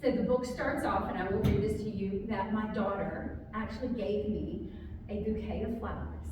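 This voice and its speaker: A woman author speaking and reading a children's story aloud to a group, with expressive, drawn-out emphasis on key words. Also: medium pitch. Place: large reverberant room, live community gathering. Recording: very echoey hall, distant, faint noise.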